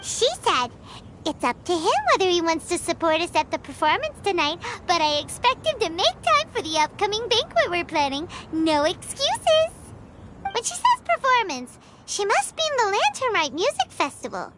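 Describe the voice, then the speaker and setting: A woman voicing a cheeky, excitable little fairy companion in a video game. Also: extremely high pitch, moderate pace, heavy background noise. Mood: eager. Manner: excitable, refers to self by name. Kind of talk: scripted video game character dialogue.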